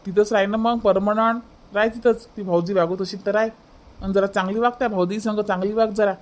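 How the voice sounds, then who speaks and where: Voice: medium pitch, steady tone, brisk, close to an everyday voice. A performer voicing a grudging village husband who repeats himself, in an amateur cartoon family comedy, with a Varhadi Marathi accent. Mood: scolding.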